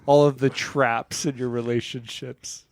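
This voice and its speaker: A man co-hosting a comedy podcast, musing in a halting, mock-earnest way about absurd ideas in casual chat. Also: medium pitch, flat delivery, no room sound.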